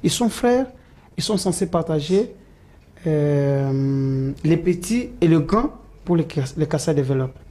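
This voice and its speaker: Hesitant man, mellow tone. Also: fairly high pitch, expressive, a little slow. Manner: hesitant, frequent "euh" fillers and restarts. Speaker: political supporter interviewed as guest. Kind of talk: interview answers.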